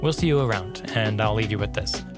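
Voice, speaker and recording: A man's voice: lowish voice, a little fast. Music plays prominently behind a man hosting a podcast. He sounds congested from allergies and talks to his listeners in a casual, informal outro style. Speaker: podcast host.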